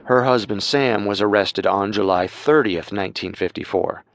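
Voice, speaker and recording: A man's voice: lowish voice, normal speed. A man hosting a true-crime show with scripted narration. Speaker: host and narrator.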